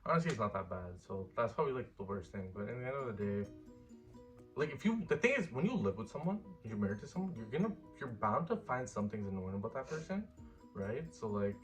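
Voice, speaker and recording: A man's voice: on the low side, very animated, fast talker, faint music behind, rough recording. A man in his early twenties chatting casually in a couple Q&A vlog, easygoing and rambling with fillers. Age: 20s. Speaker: vlogger.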